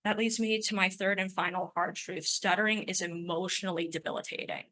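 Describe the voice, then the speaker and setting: A young woman in her twenties delivers a prepared personal talk in a bright, forward voice. She speaks with a stutter, so sounds sometimes repeat before she pushes on. Her delivery stays earnest and emphatic. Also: fairly low pitch, fairly even intonation, a little fast. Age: 20s. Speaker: conference speaker.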